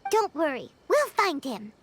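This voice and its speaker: A woman voicing a small, excitable, childlike fairy companion with a squeaky voice in a video game. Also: unhurried, a little hiss or hum, heavily acted. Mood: reassuring.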